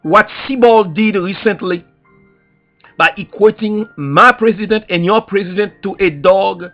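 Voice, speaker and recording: A high-voiced man with a Haitian accent delivers an activist's public address like a preacher. He hammers phrases through repetition and pointed rhetorical questions. The recording is dull and lo-fi, with faint music underneath. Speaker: activist speaker.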